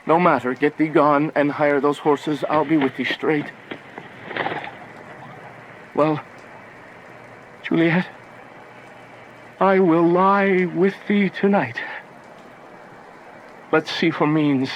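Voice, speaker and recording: A man's voice: on the high side, steady tone, takes it slowly, pauses often, dull audio. An actor playing a lovesick, impulsive young nobleman in a declamatory, poetic Shakespearean radio drama. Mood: stunned, desperate. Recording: faint background noise.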